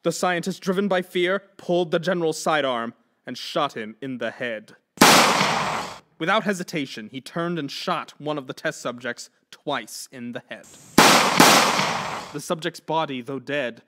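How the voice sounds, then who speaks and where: A man's voice: mid-range voice, fairly bright tone, slight background noise. A man narrating a horror story in an intense, theatrical manner.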